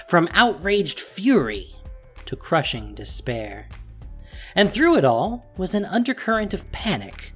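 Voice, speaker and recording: Narrator, male: dramatic scripted storytelling, swooping intonation, emphatic stresses, soft music behind. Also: on the high side.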